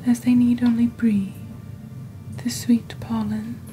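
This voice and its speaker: A young woman playing a genteel witch shopping-channel host in soft-spoken ASMR, with a breathy near-whisper and a deliberate, gently formal delivery. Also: a little high, flat delivery, faint music behind, rough recording. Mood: soft, soothing. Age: young adult. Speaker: ASMR performer.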